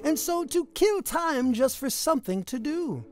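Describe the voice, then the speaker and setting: A woman reading a rhyming picture book aloud to children in an expressive, sing-song storytelling manner. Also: sits high, unhurried.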